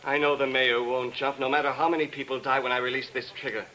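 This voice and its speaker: An actor playing an unhinged, grandiose criminal in a 1950s radio drama, coldly polite yet prone to bitter ranting. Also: male voice, medium pitch, steady tone, fast talker, pushed and bright, natural voice, rough recording. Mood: cold contempt. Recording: old 1950s radio broadcast recording.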